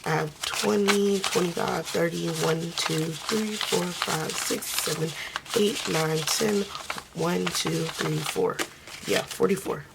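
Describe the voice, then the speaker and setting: A woman who is losing her voice speaks softly and casually, counting money aloud in a budgeting vlog. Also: fairly even intonation, takes it slowly, gravelly, heavy background noise. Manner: soft, low-key, counting under her breath. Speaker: budgeting vlogger.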